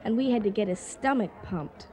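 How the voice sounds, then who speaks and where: An actress playing a nervous, chatty, scatterbrained party hostess in a comedic radio drama, rambling and guileless. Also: female voice, medium pitch, brisk, mellow, natural voice. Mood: chatty, excited.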